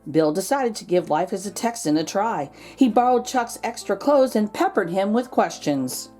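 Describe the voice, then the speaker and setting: A woman's voice: mid-range voice, animated, moderate pace, warm tone, soft background music. A woman reading a children's picture book aloud expressively, drawing out key words for emphasis. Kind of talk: expressive storybook read-aloud.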